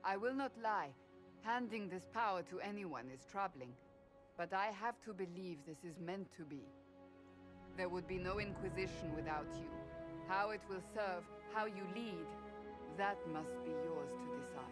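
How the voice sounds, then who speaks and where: A woman playing a grave, resolute warrior advisor in a fantasy video game, speaking in measured, formal lines. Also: fairly low pitch, animated, loud music behind the voice, close to an everyday voice. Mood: solemn, frank. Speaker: game character actor. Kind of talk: dramatic video game dialogue.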